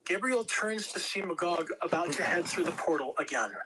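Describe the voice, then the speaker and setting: A woman's voice: fairly low pitch, animated, normal speed, very noisy. A woman reading scripted narration at a table read, telling the action in a dramatic storytelling way. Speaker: script reader.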